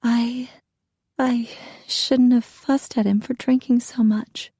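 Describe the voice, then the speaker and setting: A woman voicing a frightened young battered wife who pleads in a shrill, panicky way. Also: very animated, slow pace, warm tone, breathy edge, no room sound, studio quality, exaggerated voice. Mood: ashamed.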